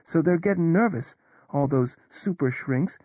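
A woman's voice: low-pitched, unhurried, no room sound. A woman brings to life a cynical, world-weary Texan whose drawl stretches every word. Her tone stays level and sardonic, never rising to alarm. The sound is close and dry, with no room around it. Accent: Texas. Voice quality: drawling, flat neutral tone. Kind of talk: audio drama performance.

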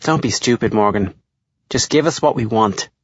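A man's voice: lowish voice, moderate pace, breathy edge, dry recording, highs cut off, pitched below the performer's own voice. A man voicing a cruel, threatening teenage bully. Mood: menacing. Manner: sneering, threatening.

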